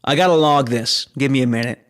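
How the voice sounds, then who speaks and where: An actor playing a skeptical, businesslike space station operator in a sci-fi audio drama. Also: male voice, medium pitch, fast, close to an everyday voice. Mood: dismissive.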